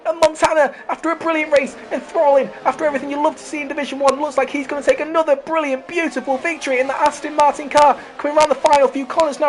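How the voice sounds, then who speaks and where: A race commentator calling an online racing league, hoarse from losing their voice and excitable during close battles. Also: sits high, slight background noise. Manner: talks to viewers as "guys".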